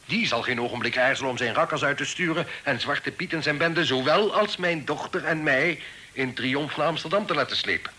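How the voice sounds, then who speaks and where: An actor playing a secretive, authoritative middle-aged father in hiding in a period radio drama, speaking formally and deliberately. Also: a little high, bright, forceful tone. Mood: indignant. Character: secretive, authoritative fugitive father, stern and shrewd. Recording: noisy old radio drama recording.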